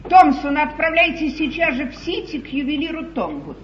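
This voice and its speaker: A woman's voice: sits high, sing-song, normal speed, echoey room. An actress playing a fretful yet imperious queen in a theatrical, declamatory mid-century stage comedy style. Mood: indignant, imperious. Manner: grand, declamatory, plaintive. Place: large theatre stage, echoing. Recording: muffled, hissy 1950s theatre broadcast, distant.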